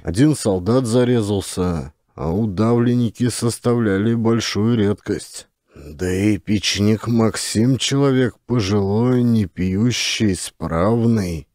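Male audiobook narrator: mid-pitched, relaxed tone, scripted storytelling at an unhurried pace. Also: dry recording, studio quality.

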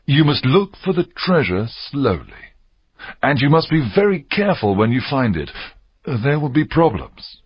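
A man voicing a stern but kindly gentleman doctor in an audiobook, grave and measured. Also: medium pitch, sing-song, breathy edge, dry recording, lo-fi sound, pitched above the performer's own voice. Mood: concerned. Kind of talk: audiobook character acting.

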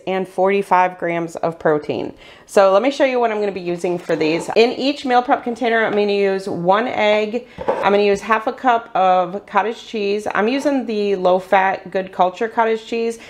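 A middle-aged woman, chatting step by step through a meal prep tutorial as host of her cooking channel. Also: medium pitch. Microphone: voice-over while hands cook on camera.

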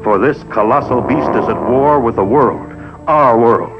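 Man doing a melodramatic monster trailer voiceover, dull old sound, loud music under it. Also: a little slow. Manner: booming, melodramatic. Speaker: trailer announcer. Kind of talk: 1950s movie trailer narration.

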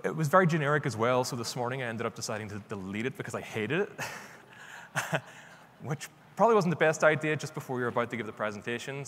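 A man's voice: medium pitch, a bit bright. A middle-aged man with a Northern Irish accent gives an informal conference talk in a conversational, self-deprecating way. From Northern Ireland. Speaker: conference speaker, author. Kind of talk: informal live presentation. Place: large conference stage indoors. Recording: reverberant hall with background noise.

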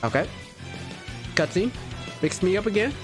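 Excitable high-voiced man gaming on a headset. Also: normal speed. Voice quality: fairly high-pitched voice. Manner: excitable, loose slangy reactions. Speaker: gaming streamer. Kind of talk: casual gameplay commentary. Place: home gaming setup, indoors. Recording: game music playing behind. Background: game music and sound effects.

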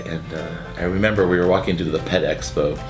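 A man in his forties with a very low voice answers interview questions from his seat by a window. He talks haltingly, restarting his sentences and filling gaps with uhs. Music plays clearly beneath him. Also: fairly even intonation, reverberant. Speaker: event co-founder. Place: indoor room by a window, seated. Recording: music bed underneath.

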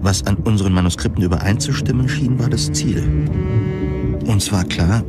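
An actor playing a troubled government reviewer in a dystopian future, narrating a science fiction audio drama in a measured, introspective way. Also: male voice, on the low side, expressive, natural voice. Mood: pensive, restrained. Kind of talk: scripted first-person audio drama narration. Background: music.

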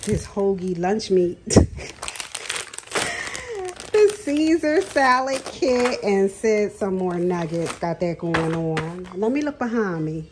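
A middle-aged woman talks warmly and casually, like she is chatting with a friend, and laughs often. Her voice sits in a middle range. She is in a home kitchen, recording on a phone camera, with a faint hiss behind her. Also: mid-range voice, animated, slow. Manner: chatty, talks to viewers as "girl", frequent laughs. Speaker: vlogger. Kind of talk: casual chatty grocery haul vlog. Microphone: phone camera filming hands over a counter.

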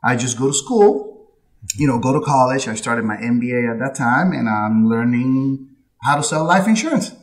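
A man in his late thirties from Puerto Rico, a guest on an insurance-industry interview podcast, telling his story in a chatty, animated way with frequent laughs, recorded on a headset mic over a video call from a home office. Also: mid-range voice, moderate pace. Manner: chatty, animated with hand gestures, laughs often. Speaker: insurance agency owner, guest. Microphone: headset with boom mic on video call.

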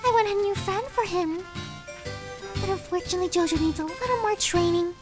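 A performer voicing an excitable, nervous, sweet young cartoon pony girl in a squeaky voice, stammering and gushing through in-character game commentary. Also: fairly quick. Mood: unsure, rambling. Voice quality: squeaky, very high voice. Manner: stammering, gushing, childlike chatter. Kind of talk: in-character let's play commentary. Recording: music behind the voice.

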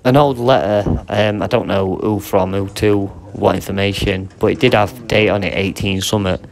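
A man with an English accent and a low voice talks casually to his viewers as a vlog host. He is chatty and informal, addressing them directly as he browses and comments. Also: steady tone, normal speed, creaky. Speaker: video host and reseller. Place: indoor auction warehouse.

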